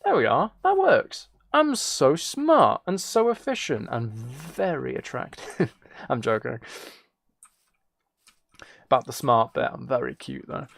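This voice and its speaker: A man with a creaky, mid-pitched voice talks casually through his gameplay. He rambles as he thinks aloud, with a playful, self-mocking streak. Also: very animated, slow pace.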